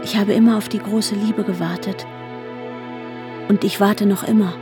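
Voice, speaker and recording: A woman's voice: mid-range voice, steady tone, normal speed, natural voice. Actress as intense lovestruck woman, radio drama narrator. Intimate, brooding, sometimes whispering, over prominent music. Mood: brooding, urgent. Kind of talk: German radio drama first-person narration.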